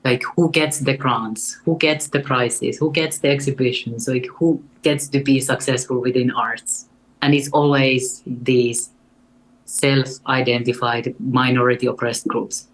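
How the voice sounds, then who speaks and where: A young woman with a Finnish accent and a deep voice, speaking as a guest in an earnest, reflective way. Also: fairly even intonation, measured pace, low-quality recording. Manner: earnest and reflective, with light laughs. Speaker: guest artist. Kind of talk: casual interview conversation.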